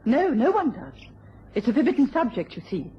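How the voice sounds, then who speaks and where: A woman's voice: a little high, sing-song, a little fast. A woman playing a genteel, anxious young English wife with an educated accent in an old radio drama. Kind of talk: mid-century British radio drama acting. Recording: dull, faintly noisy old radio recording.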